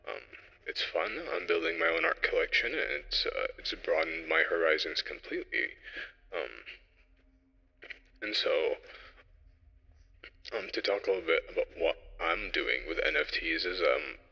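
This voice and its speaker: A young man presenting an informal solo audio log, speaking haltingly and reflectively as he thinks aloud, through a radio-style effect. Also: deep voice, very animated, creaky, husky, big-room sound, highs cut off. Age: young adult.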